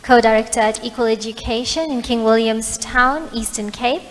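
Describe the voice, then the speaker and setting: A woman with a handheld mic, introducing the next speaker in a measured, announcing way. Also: on the high side. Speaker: program facilitator. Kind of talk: formal introduction. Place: hall, indoors. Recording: reverberant hall with faint noise.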